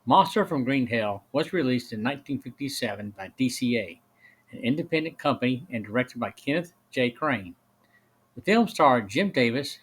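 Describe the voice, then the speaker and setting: A middle-aged or older man hosting a podcast, reading a prepared review in a measured way with occasional small stumbles. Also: medium pitch, husky. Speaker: podcast host and film reviewer. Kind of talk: scripted podcast narration and review.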